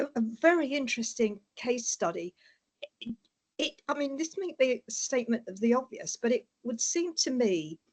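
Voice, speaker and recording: A woman with a British accent, a board member asking a question in a halting way with many fillers. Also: on the high side, a little mellow. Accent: British English.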